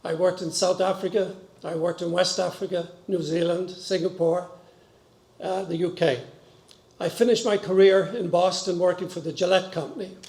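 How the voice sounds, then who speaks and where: High-pitched elderly Irish man in echoey hall. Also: fairly even intonation, moderate pace, a little mellow. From Dublin, Ireland. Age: 70s. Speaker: board candidate giving a speech. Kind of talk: personal introduction speech to an audience. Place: large meeting hall with stage, indoors. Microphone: podium gooseneck microphone. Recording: echoey hall, podium microphone.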